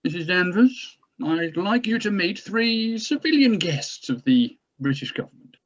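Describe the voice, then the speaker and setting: A man playing a courteous, hesitant government doctor with gentle authority in a drama table read, on slightly dull sound. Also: high voice, sing-song, full character voice. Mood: hesitant, polite. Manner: halting, courteous, searching for words.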